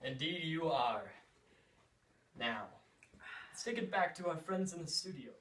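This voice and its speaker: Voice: mid-range voice, expressive, unhurried, fairly bright tone, distant mic, a little hiss or hum. A student playing a field reporter who reads questions earnestly. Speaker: field reporter in a school skit. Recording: echoey room, off-mic.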